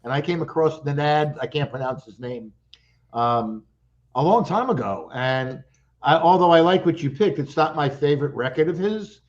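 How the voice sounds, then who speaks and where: A male panelist on an audio roundtable, speaking hesitantly and haltingly as he gives his opinion. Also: a little high, animated, lo-fi sound. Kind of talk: casual roundtable chat.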